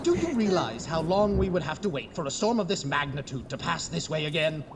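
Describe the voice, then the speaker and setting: Pompous, swooping high-pitched mad scientist. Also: male voice, sing-song, brisk. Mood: exasperated. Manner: theatrical, grandiose, exasperated. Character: pompous, irritable young mad scientist, flustered and arrogant. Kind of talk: comedic horror audio drama acting. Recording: noisy, echoing hall reverb, clicks.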